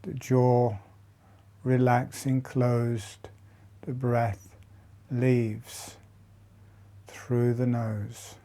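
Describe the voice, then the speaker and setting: Male meditation guide, slow and steady, low-key even delivery, mellow tone. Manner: slow, soft, halting phrases with long pauses. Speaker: meditation teacher.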